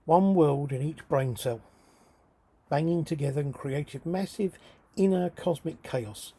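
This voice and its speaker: A man with a husky, rough-edged voice reading his own writing as a theatrical, brooding spoken-word monologue, delivered deliberately and dramatically. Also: a little high, mellow. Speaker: writer reading own work.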